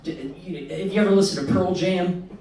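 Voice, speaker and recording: A man doing a stand-up comedy set in a halting, self-deprecating way. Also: sits high, mellow, slight background noise. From Missouri, United States. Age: 20s. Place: indoor comedy venue. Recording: echoey club set from far off, crowd laughter. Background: audience laughter.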